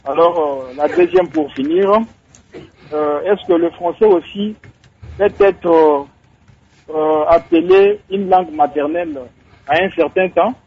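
A man's voice: a little high, very animated, a little slow, vocal fry, husky. A man with a Congolese accent, calling a radio show and asking questions hesitantly. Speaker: radio caller. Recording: dull noisy phone call to radio.

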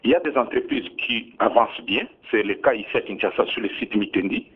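A man's voice: lowish voice, brisk, breathy edge. A man from DR Congo with a Congolese accent, speaking as a government minister in a radio interview, insistent and emphatic, repeating his points. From Bunia, DR Congo. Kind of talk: defensive radio interview answers. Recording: tinny phone-like radio sound.

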